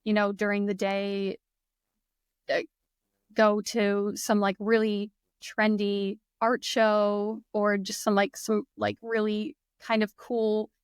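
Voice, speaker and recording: A woman's voice: medium pitch, monotone, takes it slowly, clean tone, dry recording, studio quality. A young woman with a Midwestern American accent chatting casually and enthusiastically, laughing often. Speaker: guest, English teacher. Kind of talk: casual conversation.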